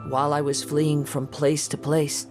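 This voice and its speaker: An actor playing a calm young wandering samurai in a game, measured and poetic in his delivery. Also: a little high. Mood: reflective. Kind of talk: video game cutscene dialogue. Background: game music.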